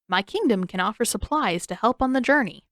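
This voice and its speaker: A manic, cackling old king with a wild grin in his voice, put on by a woman reading a fan story aloud. He teases and baits his listeners, and his lines rise a little higher than her plain telling. The recording is close and dry, with no room sound. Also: expressive, fairly quick, fairly bright tone, no echo. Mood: sly, playful. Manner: theatrical, teasing, grinning riddler.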